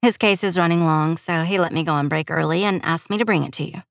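An easygoing female nurse friend, acted by a woman narrator in an audiobook. She is helpful and chatty, with a slightly low voice in a dry, close recording. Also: quick pace, no echo, natural voice.

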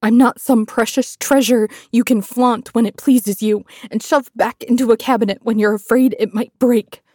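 A woman's voice: medium pitch, unhurried, mellow, husky, no echo, studio quality. A woman voicing a proud, wounded, furious woman commander in a fantasy audiobook, with a biting, defiant delivery. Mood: furious, commanding. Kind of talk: dramatic fantasy audiobook acting.

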